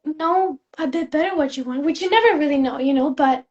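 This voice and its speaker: High-voiced young woman from Spain. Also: brisk, lo-fi sound. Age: young adult. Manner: soft-spoken, many fillers and restarts. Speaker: guest, fashion student. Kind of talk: casual interview chat.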